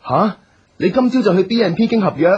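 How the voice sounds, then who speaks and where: An actor playing a responsible, conciliatory second brother in a radio drama, earnest and hesitant, with a Hong Kong Cantonese accent. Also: male voice, sits high, lively intonation, fast, darkish tone, slightly rough, dull audio, full character voice. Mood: earnest, concerned.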